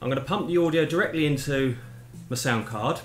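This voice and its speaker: A middle-aged man giving a casual, relaxed demonstration to camera with understated enthusiasm. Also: a little high, brisk, slight background noise. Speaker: presenter of his own equipment demo. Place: small indoor home room. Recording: echoey room, music playing behind. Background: music from the tape machine.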